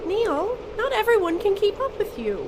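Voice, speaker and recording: A very high-voiced, firm woman leader. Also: sing-song, normal speed, noisy background. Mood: firm reassurance. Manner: earnest, commanding. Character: firm, caring female expedition co-leader. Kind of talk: audio drama acting.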